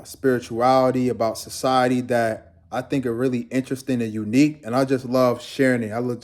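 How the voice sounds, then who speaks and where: A man's voice: mid-range voice, darkish tone. A young man from Guyana, a fitness trainer, talking casually and rambling to his viewers in a laid-back vlog.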